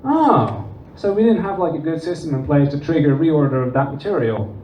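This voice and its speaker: Man, dutch accent, lively conference presenter, higher voice, distant echoey dull recording. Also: fairly quick. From Netherlands and England. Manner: animated, acts out quoted dialogue. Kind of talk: conference talk. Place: conference room. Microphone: distant room recording. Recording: distant, roomy, dull with faint noise.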